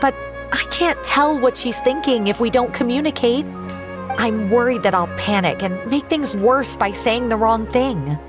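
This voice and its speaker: A woman's voice: fairly high pitch, moderate pace, lightly acted. A woman playing an anxious, loving mother in a video game, speaking in a worried, earnest way. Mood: distressed, sad. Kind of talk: video game character acting. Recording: music under the voice.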